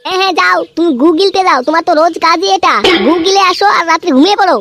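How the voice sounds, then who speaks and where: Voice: very high voice, fairly even intonation, racing, noisy background. A performer voicing a frustrated, foul-mouthed young wife in a comedy cartoon, nagging and complaining in a female voice. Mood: angry, scolding. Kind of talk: amateur comedy cartoon voice acting.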